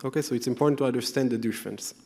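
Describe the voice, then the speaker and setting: A man's voice: mid-range voice, rapid-fire, warm tone. A man from Israel with an Israeli accent, a product manager explaining things step by step to a live audience, often checking in with "okay?". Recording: long hall reverb.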